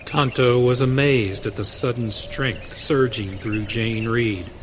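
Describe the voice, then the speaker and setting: A man narrating a western radio drama in a measured, storytelling manner. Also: mid-range voice, a little hiss or hum. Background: music.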